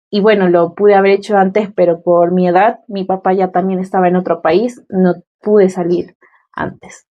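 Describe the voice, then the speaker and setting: A woman's voice: medium pitch, dark tone, lo-fi sound. A woman in her twenties with a Venezuelan accent, telling her personal story as an interview guest. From Mérida, Venezuela.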